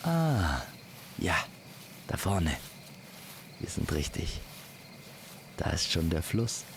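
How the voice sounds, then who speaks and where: A man telling a scripted fantasy story as an immersive campfire narrator, speaking gently and poetically to the listener. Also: sits low, gravelly, slight background noise. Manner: slow, gentle, poetic delivery. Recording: constant night insect and nature ambience. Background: crickets and forest night sounds.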